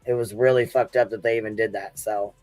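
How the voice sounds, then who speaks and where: A man's voice: fairly low pitch, steady tone, a little fast, a little mellow, no room sound, faint music behind. A man with an American accent doing casual livestream commentary, telling a story in informal, sweary, slangy talk.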